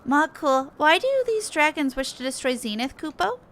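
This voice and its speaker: A woman's voice: high-pitched, expressive, normal speed, a bit bright. A woman voicing a small, earnest moogle guard creature, plucky and exclaiming, reading game dialogue expressively. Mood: earnest, dutiful.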